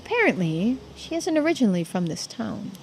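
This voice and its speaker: A woman's voice: mid-range voice, sing-song, fairly quick, darkish tone, soft background music, low-quality recording. A woman voicing a dutiful police subordinate in a mystery game, formal, deferential and matter-of-fact. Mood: reporting.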